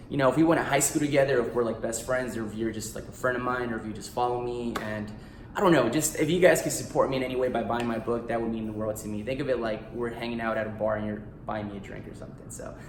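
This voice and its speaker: A man's voice: medium pitch, fairly even intonation, fast, slight background noise. Eager, heartfelt man in an echoey room. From United States. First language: Spanish. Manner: eager and heartfelt, full of "like" and "you know". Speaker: actor and author. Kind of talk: casual self-promo vlog. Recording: roomy echo in a small room.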